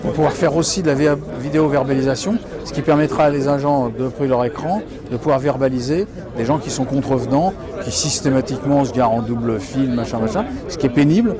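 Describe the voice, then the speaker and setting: A man's voice: medium pitch, fast, few pauses, a crowd in the background. A man, a local elected official, explaining things in an interview in colloquial, hesitant phrasing.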